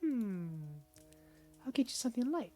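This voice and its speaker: A man's voice: very high-pitched, lively intonation, normal speed, mellow, soft background music, voice pushed up. A man putting on a sugary, controlling young woman. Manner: syrupy, coaxing, talks down.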